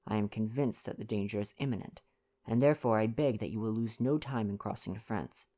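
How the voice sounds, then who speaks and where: Wealthy letter writer read by a man: anxious, formal, measured urgency, storybook narration. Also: fairly low pitch, dark tone, close to an everyday voice. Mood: urgent.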